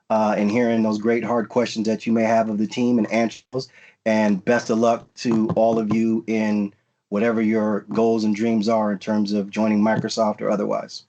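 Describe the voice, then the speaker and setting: Low-voiced man giving career advice. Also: flat delivery, normal speed, a little mellow, highs cut off. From United States. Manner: frequent fillers and restarts, earnest advice-giving. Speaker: panelist at a recruiting event. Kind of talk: virtual panel talk.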